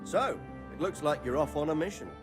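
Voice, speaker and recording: An actor playing a wary, fatherly middle-aged medieval nobleman in a game cutscene, speaking in a measured, earnest way. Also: fairly high pitch, animated, music in the background, close to an everyday voice. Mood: cautioning. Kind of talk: video game cutscene acting.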